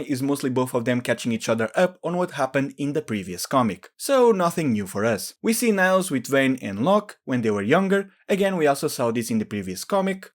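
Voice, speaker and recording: A man giving scripted explainer narration as a video host, in a matter-of-fact, explanatory way. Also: mid-range voice.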